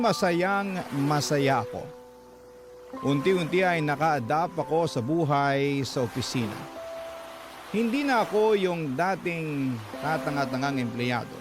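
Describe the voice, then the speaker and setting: A man with a Filipino accent reading a scripted first-person story as a radio drama narrator. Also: fairly high pitch.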